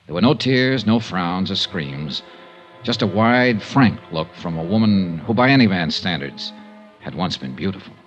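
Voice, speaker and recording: A muffled old radio recording with music behind it features a cool insurance investigator. His voice is on the low side, dry and persistent, in classic 1950s detective drama style. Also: lively intonation, normal speed. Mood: dry narration. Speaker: lead character and narrator.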